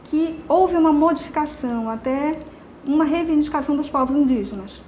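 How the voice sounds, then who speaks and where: A woman interviewer asking questions in a polite, measured way. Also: sits high, dark tone, slight background noise.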